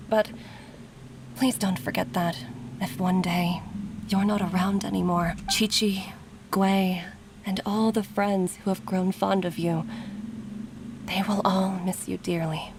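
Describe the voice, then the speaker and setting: An actress playing an earnest, worried female physician and mother in a video game, with a grave, soft, sighing delivery. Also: medium pitch, fairly even intonation, a little slow, background noise, lightly acted. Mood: pleading concern. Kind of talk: dramatic video game voice acting. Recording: game dialogue over background music.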